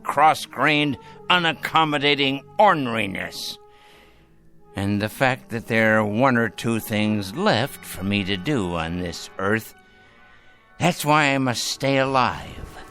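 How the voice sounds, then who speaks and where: A man's voice: mid-range voice, a bit bright. A sardonic, dying old patriarch in a radio drama. He takes his time over florid, cutting phrases, stubborn and commanding, with music playing underneath. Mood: defiant. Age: older. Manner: florid, cutting, deliberate speech.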